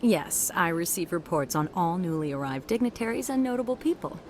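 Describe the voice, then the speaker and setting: A woman's voice: on the low side, expressive, brisk, a little mellow, slight background noise, natural voice. A woman playing a courteous, composed embassy receptionist in a video game, professional and helpful. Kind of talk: video game dialogue acting.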